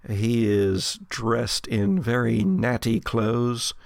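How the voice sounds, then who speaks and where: A man hosting a tabletop game podcast as game master, mixing dramatic, deliberate narration with casual, dryly humorous chat. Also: mid-range voice, creaky, dry recording, studio quality. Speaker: host and game master of an actual play podcast.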